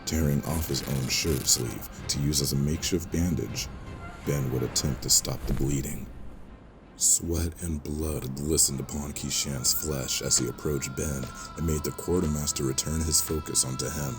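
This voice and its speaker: A man's voice: rumbling low, steady tone, normal speed, music in the background. A man giving scripted, dramatic narration as the storyteller of an audio drama.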